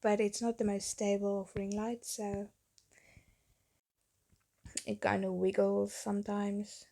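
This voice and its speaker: Gravelly, mellow-voiced woman narrating her painting. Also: medium pitch, completely flat delivery, slow. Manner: rambling, chatty, self-deprecating. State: losing her voice, getting sick. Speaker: artist narrating a painting video. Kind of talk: casual voice-over narration. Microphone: phone.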